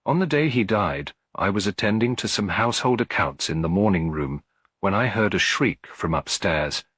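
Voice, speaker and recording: A man's voice: lowish voice, lively intonation, normal speed, a bit bright, no echo. A man voicing a tired, strained middle-aged widow in an audiobook, cool, guarded and composed. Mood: strained, grieving. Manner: clear but weary, composed. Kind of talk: audiobook character dialogue.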